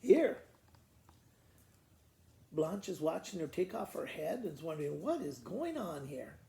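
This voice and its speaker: A man's voice: fairly high pitch, very animated, a little slow, gravelly, dark tone. A man telling a children's story in a simple, conversational way, informally explaining it as he goes. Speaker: school principal reading to pupils. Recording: roomy echo, faint background noise.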